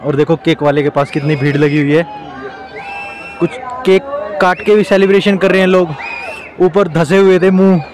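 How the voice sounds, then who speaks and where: A young man vlogging on a crowded street at night, talking loudly and excitedly into a handheld phone. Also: sits high, moderate pace, pauses often. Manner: loud, excited, chatty. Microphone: phone camera at arm's length. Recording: loud crowd noise outdoors. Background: cheering crowd.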